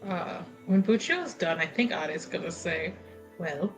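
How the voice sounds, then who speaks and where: A woman's voice: fairly low pitch, sing-song, unhurried. Woman chatting at a roleplaying game, music underneath. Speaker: tabletop roleplaying player. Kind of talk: casual game-table chat.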